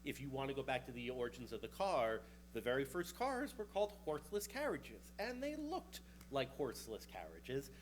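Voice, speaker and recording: A man's voice: mid-range voice, big pitch swings, normal speed, few pauses. A man in his thirties with a New York accent, presenting at a conference in an easygoing, chatty way. His voice sits in a middle register. A faint hum runs under the recording. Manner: casual and chatty, with a joking aside here and there. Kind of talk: conference talk.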